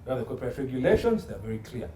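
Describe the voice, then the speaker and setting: A man's voice: mid-range voice, big pitch swings, very fast, dark tone. A man giving opinionated interview commentary as an expert guest, assertive and emphatic, fond of repetition and rhetorical questions. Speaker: guest expert, entertainment law consultant. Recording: roomy echo, faint background noise.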